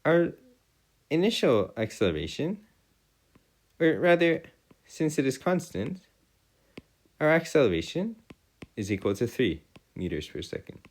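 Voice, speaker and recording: Male tutor, slow delivery, lively varied pitch, formal wording, halting, self-correcting, explanatory lesson style. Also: low-quality recording. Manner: formal, old-fashioned wording. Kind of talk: improvised math and physics lesson.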